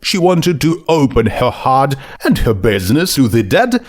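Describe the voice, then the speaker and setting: A man narrating a scripted story in a measured, deliberate storytelling manner. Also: mid-range voice, big pitch swings. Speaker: fan fiction audiobook narrator.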